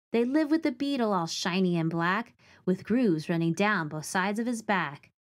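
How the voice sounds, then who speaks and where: A woman reading a picture book of rhymes and nature facts aloud to children, with expressive, clearly phrased delivery. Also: mid-range voice.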